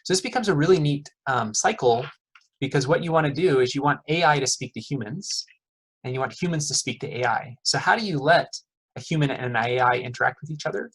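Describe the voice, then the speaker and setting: A man's voice: medium pitch, expressive, fairly quick, husky, rough recording. A middle-aged man, an economics professor, giving a casual lecture to students, friendly and chatty with lots of okays and uhs. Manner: friendly, quizzes the audience, many fillers like uh and okay.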